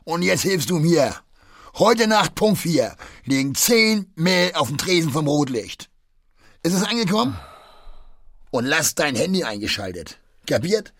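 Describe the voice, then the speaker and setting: An actor playing a middle-aged red-light pimp in a radio play, patronising and slangy, jovial but threatening. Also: male voice, a little high, sing-song, a little slow, no room sound, studio quality, full character voice.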